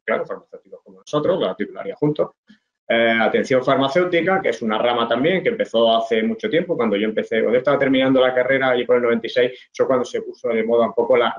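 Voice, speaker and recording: A man's voice: mid-range voice, highs cut off. A middle-aged man from Spain giving an online talk to pharmacy students, explaining with many fillers and restarts. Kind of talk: informal online presentation over slides. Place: indoors at a desk. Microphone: webcam video call.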